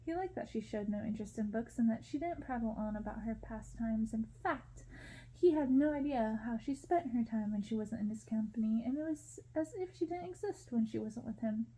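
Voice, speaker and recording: A woman reading a story aloud as ASMR narration, in a soft, hushed storytelling manner. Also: on the high side, lively intonation, brisk, breathy edge, poor audio. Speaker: ASMR reader.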